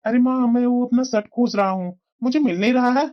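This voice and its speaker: A performer voicing a devoted adult son and stern husband in a family cartoon, with plain, drawn-out delivery. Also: mid-range voice, highs cut off, natural voice. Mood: affectionate, casual. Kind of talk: Hindi family moral-story cartoon voice acting.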